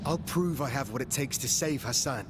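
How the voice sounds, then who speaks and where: A man's voice: medium pitch, normal speed, background noise, close to an everyday voice. An actor playing a determined young male warrior in a video game, speaking earnestly and directly. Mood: determined, questioning.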